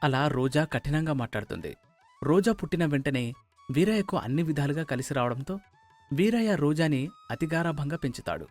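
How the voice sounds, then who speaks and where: A man's voice: fairly high pitch, lively intonation, brisk, darkish tone, soft background music. A man giving scripted narration for a moral story.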